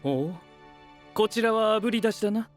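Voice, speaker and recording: High-pitched, pedantic young knight. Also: male voice, lively intonation, moderate pace, soft background music. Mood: observant. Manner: precise, formal, analytical. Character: pedantic, analytical young male scholar-knight. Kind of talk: anime-style voice drama acting.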